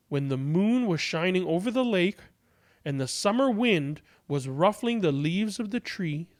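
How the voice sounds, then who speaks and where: A man reading a children's story aloud in an expressive, dramatic way, drawing words out deliberately. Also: high-pitched.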